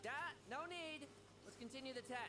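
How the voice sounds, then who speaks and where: A woman's voice: high-pitched, brisk, close to an everyday voice. A woman voicing a cocky young knight with a brash, mocking manner. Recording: streamed game audio with noise.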